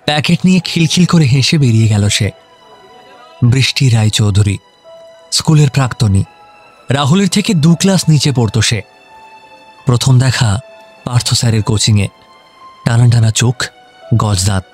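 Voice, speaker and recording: A male narrator reads a scripted love story. His voice sits at a medium pitch, with faint music playing underneath. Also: lively intonation, normal speed, long pauses.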